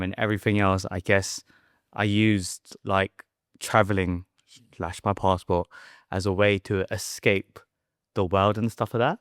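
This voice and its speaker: Young guy on a podcast, smooth voice, lots of likes and ums, dry sound. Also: deep voice, no echo. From West London, born in the Philippines. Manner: informal and rambling, many "like" and "um" fillers. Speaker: podcast guest, food creator. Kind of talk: casual interview chat.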